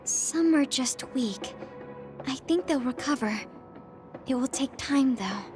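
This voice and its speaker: A woman playing a gentle, earnest but stubborn young female soldier in an anime-style video game, speaking in short, halting sentences. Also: high-pitched, expressive, over music. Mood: downcast.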